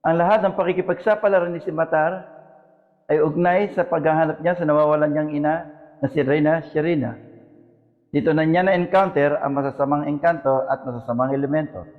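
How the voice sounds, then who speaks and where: A man's voice: fairly high pitch, steady tone, brisk, big-room sound, narrow, tinny audio. A man with a Filipino accent giving scripted educational narration as the host.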